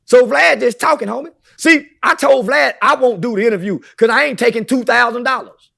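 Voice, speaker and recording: A man's voice: very high-pitched, brisk, gravelly, bright, forceful tone. A man talking brashly as a podcast guest, boastful and preachy, swearing constantly.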